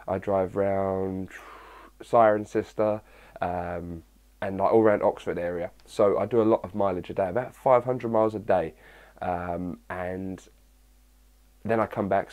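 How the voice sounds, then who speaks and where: A young man with a husky early-morning voice, chatting casually to his viewers, answering their questions and rambling with lots of fillers. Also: fairly low pitch, darkish tone. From England. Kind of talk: casual chat to camera.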